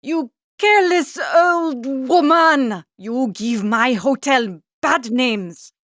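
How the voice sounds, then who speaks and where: High, blustering hotel manager. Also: male voice, slow pace, fairly bright tone, dry recording, clean studio sound, exaggerated voice. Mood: outraged. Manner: blustering, emphatic, mangled idioms. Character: blustering, indignant French male hotel manager. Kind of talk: comic storybook character voice.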